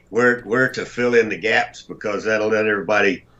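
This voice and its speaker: Very deep-voiced older man, joking. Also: steady tone, moderate pace, pushed and bright, lo-fi sound. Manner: self-deprecating, joking about his height. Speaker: internet radio host; guest. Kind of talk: casual chat.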